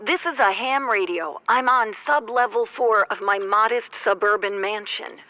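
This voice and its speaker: A woman's voice: medium pitch, normal speed, dry recording, natural voice. Actress as a breezy suburban woman on ham radio. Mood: curious. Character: breezy, self-satisfied suburban former radio host. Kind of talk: comedic radio drama acting. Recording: dull, noisy ham radio sound.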